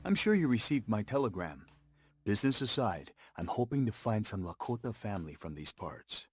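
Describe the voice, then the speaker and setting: Low-voiced, earnest young war veteran. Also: male voice, sing-song, moderate pace, slightly rough, no echo. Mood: friendly, wry. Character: young Lakota-descended war veteran, company man, earnest and principled. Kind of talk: video game cutscene acting and narration.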